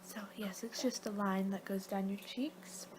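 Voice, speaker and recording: A woman speaks softly in an ASMR roleplay, often dipping into a whisper. Her pitch is middling for a woman, and she rambles playfully from one thought to the next. Clear background noise sits under the voice. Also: steady tone, unhurried.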